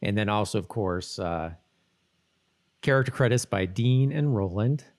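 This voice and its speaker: A man hosting a podcast in casual chat, talking in a halting, rambling way and often correcting himself. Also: lowish voice, lively intonation, a little mellow. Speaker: podcast host.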